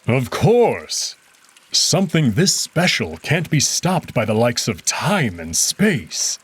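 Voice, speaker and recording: A soft-spoken male wolf noble, tender and a little formal in how he talks, as a man reads him out. His voice is slightly husky and moves lively up and down. It sits a touch higher than the reader's own. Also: slow, full character voice. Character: gentle, devoted male wolf noble, adult.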